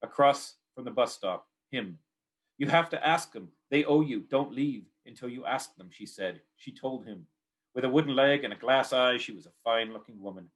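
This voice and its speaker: A man's voice: mid-range voice, lively intonation, normal speed, a bit bright, poor audio. A man with a Canadian accent giving a poetry reading of his own work in short, clipped, repeating phrases.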